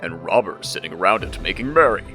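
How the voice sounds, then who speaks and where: A man's voice: low voice, expressive, fast talker, bright, forceful tone, faint music behind. A man voicing a genial old donkey in a fairy tale, with a hearty, declaiming manner. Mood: musing.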